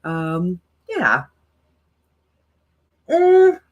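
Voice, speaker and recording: A woman's voice: on the low side, wildly swooping pitch, very slow, narrow, tinny audio. A woman with a mild stutter, chatting casually to viewers as the co-host of a writing livestream, with many fillers.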